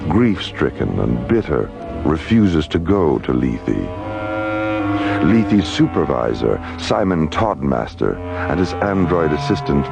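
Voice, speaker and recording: A man narrating a science fiction radio drama in a scripted documentary style, deliberate and portentous. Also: rumbling low, gravelly, exaggerated voice. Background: loud dramatic music.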